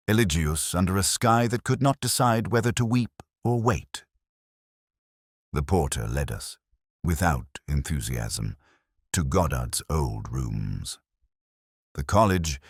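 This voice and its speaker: A man narrates a detective story in an extremely low voice. His delivery is solemn and measured, rising and falling expressively over a husky grain. The sound is close and dry. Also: dry recording. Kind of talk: scripted first-person detective story narration.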